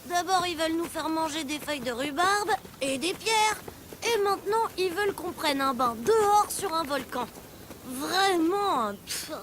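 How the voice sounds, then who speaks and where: Casting: a small, food-loving cartoon sidekick, extremely high voice, dragging pace, hesitant and a bit whiny. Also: female voice, expressive, a little slow, background noise. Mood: reluctant, grumbling. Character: grumbly, timid, greedy little child sidekick in a cartoon. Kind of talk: animated children's cartoon dubbing. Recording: music behind the voice.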